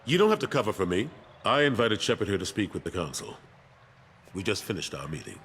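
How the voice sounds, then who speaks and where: Low-voiced, weary older military statesman. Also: sing-song, brisk, a bit bright, slight background noise. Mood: frank. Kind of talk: video game voice acting.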